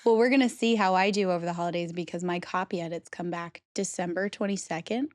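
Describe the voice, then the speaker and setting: A woman's voice: on the low side, steady tone, fast talker, darkish tone, dry recording. A woman in her thirties co-hosting a podcast, chatting casually and laughing often, her throat still phlegmy from a cold. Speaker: podcast co-host. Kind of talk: casual chat.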